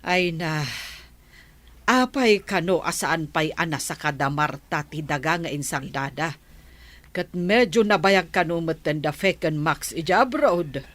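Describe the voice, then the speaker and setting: A reproving village woman acted by a woman in a radio comedy drama. She chats and gossips in a slightly low voice. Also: expressive, unhurried, a bit bright, no echo. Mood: gossipy.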